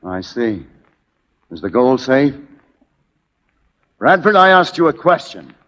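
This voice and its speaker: An actor playing a stern, suspicious Union cavalry colonel in 1940s radio drama, brisk and authoritative. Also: male voice, medium pitch, big pitch swings, a bit bright. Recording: dull 1940s radio broadcast recording.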